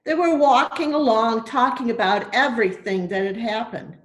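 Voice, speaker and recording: A woman reading a Bible story aloud for children, in deliberate, simple phrases as a church storyteller. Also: a little high. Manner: deliberate, simple phrasing with questions to young listeners. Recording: echoey room.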